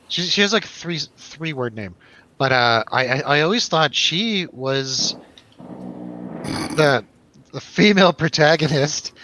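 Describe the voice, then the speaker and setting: A man's voice: mid-range voice, pushed and bright, muffled recording. A man in casual, rambling conversation about films, telling stories animatedly and swearing freely. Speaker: film review show host. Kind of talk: casual chat, film review over drinks.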